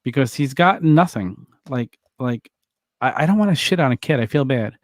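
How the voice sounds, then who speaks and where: A man's voice: mid-range voice, animated, normal speed, no echo, studio quality. A man co-hosting a wrestling podcast, rambling and teasing in casual chat.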